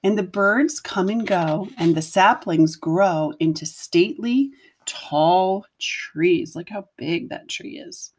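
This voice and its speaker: A woman's voice: lowish voice, slow pace, breathy edge. A woman teacher reading a picture book aloud to children, explaining things simply and putting questions to her listeners.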